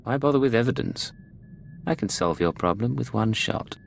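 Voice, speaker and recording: An actor playing a cool, cynical secret agent in a video game, speaking in a controlled, laconic deadpan. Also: male voice, lowish voice, moderate pace, gravelly, slight background noise. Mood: cool, sardonic.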